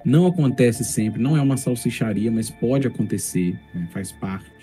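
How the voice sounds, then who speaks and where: Brazilian male, soft-edged dark timbre, medium pitch, reflective conversational explainer over light music. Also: expressive, moderate pace. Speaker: tabletop gamer, podcast speaker. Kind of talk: casual podcast discussion. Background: faint music.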